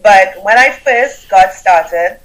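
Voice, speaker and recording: A woman's voice: medium pitch, slow, fairly bright tone, rough recording. A woman with a Trinidadian accent, a guest speaker and business coach giving emphatic motivational advice and repeating punchy phrases. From Trinidad and Tobago. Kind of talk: motivational interview talk.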